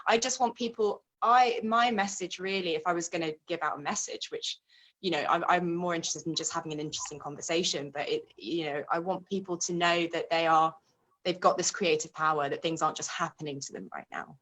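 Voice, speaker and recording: A woman with a somewhat low-pitched voice hosts a relaxed conversation. She speaks informally, explaining as she goes and steering the talk like an interviewer. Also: fairly quick, poor audio. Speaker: interviewer, show host.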